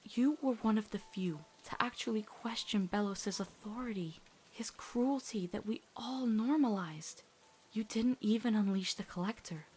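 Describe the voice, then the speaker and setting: A woman voicing a gentle, steadfast teenage witch girl, speaking in a measured, reassuring way in a dramatic comic dub. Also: mid-range voice, a little hiss or hum, close to an everyday voice. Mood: firm, consoling.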